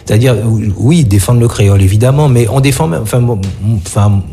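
Mellow man, emphatic talker. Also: medium pitch, fairly quick. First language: Creole. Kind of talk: casual discussion.

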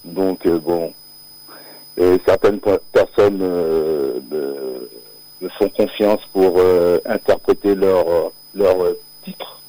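Man from Martinique, singer guest on a live radio show: low, unhurried, halting, with lots of fillers. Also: mellow, rough recording. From Martinique, born near Paris. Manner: hesitant, many fillers and restarts, laughs often. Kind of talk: live radio interview, casual chat. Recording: radio broadcast audio.

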